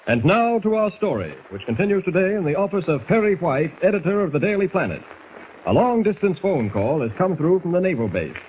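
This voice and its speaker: A man's voice: high-pitched, big pitch swings, fairly quick, phone-quality sound. A man narrating a 1940s radio serial in a formal, suspenseful, dramatic style, on a thin and hissy old recording.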